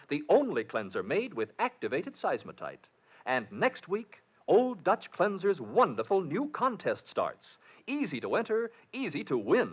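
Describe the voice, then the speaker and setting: A man announcing in 1940s radio style, reading scripted narration and sponsor pitches with emphatic, polished salesmanship. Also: husky. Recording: muffled scratchy old radio broadcast.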